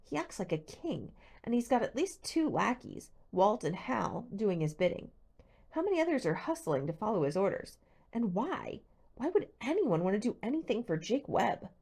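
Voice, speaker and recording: A woman's voice: mid-range voice, big pitch swings, normal speed, a little mellow, slightly rough, pitched above the performer's own voice. A woman voicing a plucky, wry teenage girl detective with an inquisitive manner in an audiobook. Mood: curious.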